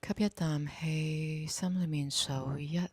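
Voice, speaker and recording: Hong kong cantonese lady doing guided meditation, really slow n calm, soft breathy voice, super dry sound. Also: sits low, no echo. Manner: slow, calm, gently instructing.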